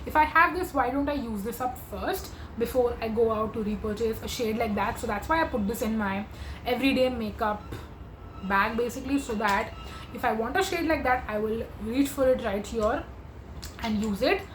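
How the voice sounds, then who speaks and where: A woman, chatting to camera as a beauty vlogger in a gushing, filler-heavy way, her voice going hoarse. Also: a little high, normal speed, roomy sound, slight background noise, poor audio. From India. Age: 20s. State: losing her voice. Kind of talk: casual makeup chat to camera.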